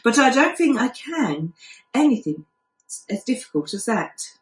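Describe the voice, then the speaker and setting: Woman as female Christmas fairy doll, storybook, earnest, bossy, kindly, lively intonation, echoey. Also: on the high side, a little slow, distant mic. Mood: doubtful. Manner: earnest, instructing. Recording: echoey room.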